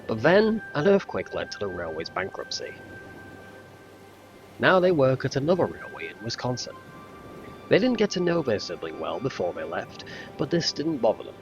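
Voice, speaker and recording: Animated male storyteller over music. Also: mid-range voice, normal speed, long pauses, highs cut off. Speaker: narrator. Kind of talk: scripted storybook narration.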